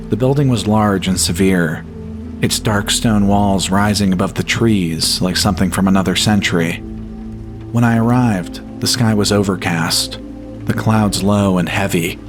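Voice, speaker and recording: Male horror podcast narrator, lower-pitched, measured and solemn, reading scripted first-person fiction over faint music. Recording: music bed behind the voice. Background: atmospheric music.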